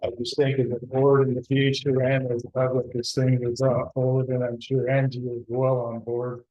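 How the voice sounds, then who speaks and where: A man leading a town board meeting, talking haltingly with many restarts. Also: mid-range voice, completely flat delivery. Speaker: presiding board trustee. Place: town hall meeting room. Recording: dull lo-fi meeting recording.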